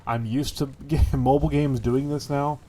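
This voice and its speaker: A man's voice: medium pitch, steady tone, normal speed, mellow. A man with a stuffy, congested voice giving casual, rambling impressions of a game as host of his gaming channel, full of fillers and the odd swear. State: congested from a cold.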